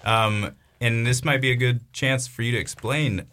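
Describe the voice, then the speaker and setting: A man hosting a community radio interview, asking questions in a friendly, steady way. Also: medium pitch, normal speed. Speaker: radio host.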